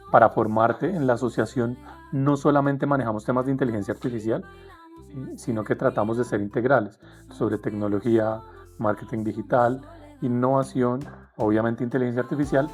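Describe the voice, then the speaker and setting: A man's voice: mid-range voice, a little mellow. A Colombian man interviewing a new member of his association, encouraging and affirming as he asks questions. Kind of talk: casual interview.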